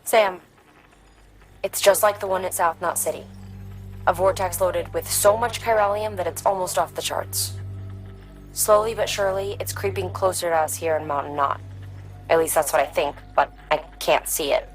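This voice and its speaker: A woman playing a serious, gentle scientist. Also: fairly low pitch, fairly even intonation, normal speed, faint music behind, close to an everyday voice, poor audio. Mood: earnest. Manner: earnest and reflective. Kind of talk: video game cutscene dialogue.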